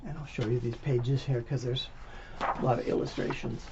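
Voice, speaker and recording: A man's voice: medium pitch, fairly even intonation, moderate pace. A man reading a children's picture book aloud in an informal, interactive way, as if to young listeners. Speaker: volunteer storybook reader. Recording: dull lo-fi sound, faint background noise.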